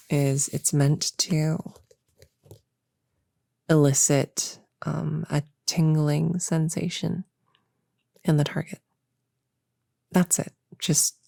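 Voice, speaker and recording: A woman playing a nervous, eager young novice witch in an ASMR roleplay, speaking softly and hesitantly, often near a whisper, close to the mic. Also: low-pitched, steady tone, gravelly, dry recording, heavily acted. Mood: earnest explaining. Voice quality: soft, often dropping into whisper. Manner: halting, soft-spoken, hesitant and reassuring. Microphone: close-up mic in a dry, quiet space.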